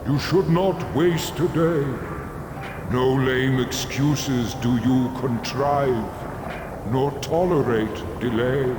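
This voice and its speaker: Mystical oracle, drawn-out pronouncements, medium pitch, heavy echo, solemn game acting. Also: male voice. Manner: slow, chanting, pronouncing. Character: solemn mystical oracle reciting rhyming counsel. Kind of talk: video game character acting. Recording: echoing hall reverb, noisy ambience.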